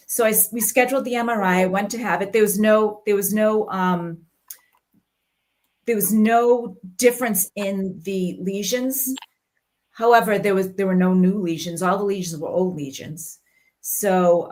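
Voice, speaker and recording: A woman's voice: medium pitch, a little mellow. Earnest woman with a strong Boston accent. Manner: earnest storytelling, reenacts dialogue, laughs easily. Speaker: guest sharing her health story. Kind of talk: casual livestream conversation.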